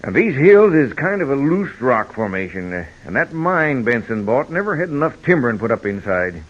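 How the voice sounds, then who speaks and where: An actor playing a folksy, sly frontier storekeeper with an American Western accent in an old radio drama. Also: male voice, mid-range voice, big pitch swings, normal speed. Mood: cautioning. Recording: dull noisy vintage radio recording.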